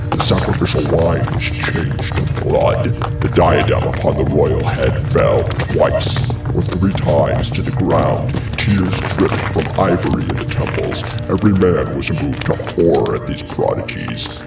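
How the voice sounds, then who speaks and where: An actor playing a grave, horrified messenger in a classical tragedy, declaiming a dramatic account in a gravelly voice. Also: male voice, very deep voice, echoey room, far from the mic, heavily acted. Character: horrified royal messenger recounting a ghastly sacrificial murder. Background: music.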